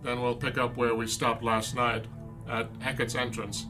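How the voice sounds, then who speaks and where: An actor playing an authoritative, formal theatre director in an audio drama. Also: male voice, mid-range voice, level tone, normal speed, music in the background, close to an everyday voice. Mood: commanding. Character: authoritative male theatre director running a rehearsal.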